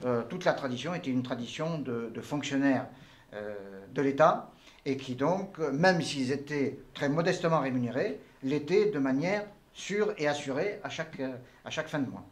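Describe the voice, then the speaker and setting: A man's voice: medium pitch, normal speed. An older man from Tunisia, answering interview questions in formal, elaborate phrasing with frequent hesitations. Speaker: entrepreneur guest.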